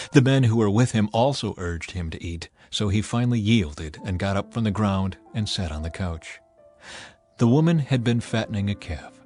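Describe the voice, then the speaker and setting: A man narrating scripture in a measured, even reading. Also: on the low side.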